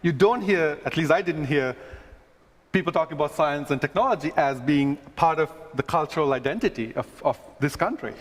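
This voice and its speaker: A man who grew up in Sri Lanka, an astronomer speaking earnestly at a conference, pausing with fillers and restarts in the Q&A. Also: a little high, animated. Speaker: astronomer giving a talk. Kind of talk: stage talk then casual Q&A. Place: conference stage. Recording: long hall reverb.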